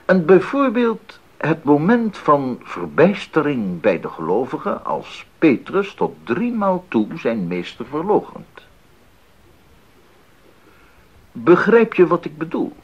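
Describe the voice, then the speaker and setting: An actor playing an earnest, enthusiastic Baroque composer in a 1980s radio drama, speaking deliberately and expressively with thoughtful pauses. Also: male voice, on the high side, wildly swooping pitch, a little mellow, breathy edge, full character voice. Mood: earnest, explaining. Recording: muffled 1980s radio recording.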